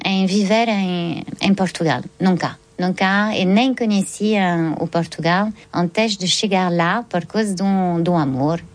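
A woman's voice: on the low side, takes it slowly, hardly any pauses. Hesitant woman bookseller, full of fillers. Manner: hesitant, many fillers and restarts. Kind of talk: interview answers.

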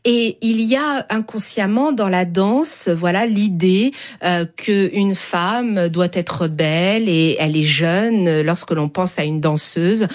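A middle-aged woman with a Persian accent, a dancer speaking thoughtfully in an interview and reading poetry, often pausing with hesitations. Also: on the low side. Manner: slow, thoughtful, many hesitations. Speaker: dancer and whirling dervish guest.

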